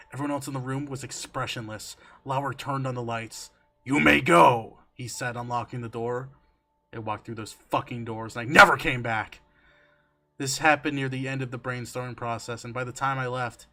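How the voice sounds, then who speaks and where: A man reading a horror story aloud on a stream, expressive and emphatic, slipping between dramatic narration and casual asides. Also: mid-range voice. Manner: expressive, emphatic, swearing, breaking into amused asides. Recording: music under the voice. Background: background music.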